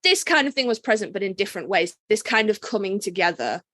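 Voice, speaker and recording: A woman's voice: medium pitch, lively intonation, fast talker, sharp tone, no room sound, clean studio sound. A woman co-hosting a podcast, chatting casually and emphatically with informal, sweary phrasing. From Britain. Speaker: podcast co-host. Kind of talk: casual fan discussion chat.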